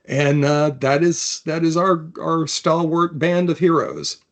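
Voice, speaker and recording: A man, an author, talking about and reading from his own fantasy novel in a thoughtful, measured manner. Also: fairly high pitch, animated. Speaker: author, interview guest.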